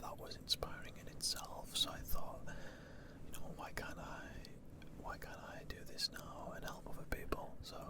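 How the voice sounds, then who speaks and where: A man from the Lake District, whispering through a casual ASMR question-and-answer session with plenty of ums and likes. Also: moderate pace. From Lake District, northwest England. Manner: soft unvoiced whisper, rambling with fillers.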